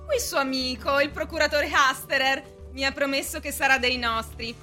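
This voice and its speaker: A woman's voice: high-pitched, steady tone, normal speed, sharp tone, faint music behind. A woman playing a breezy, pushy deputy bank director in a radio play, with an insistent, theatrical delivery. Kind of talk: radio drama stage acting.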